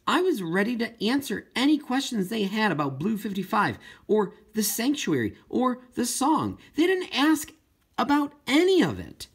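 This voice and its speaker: A man reading a first-person children's novel as an expressive audiobook narrator. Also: high-pitched, normal speed, slightly rough. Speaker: audiobook reader.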